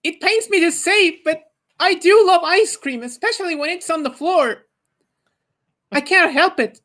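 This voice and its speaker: Woman putting on a high-voiced stubborn childlike hero. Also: unhurried, fairly bright tone. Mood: sheepish, stubborn. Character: indignant, childlike, food-obsessed video-game hero apologizing.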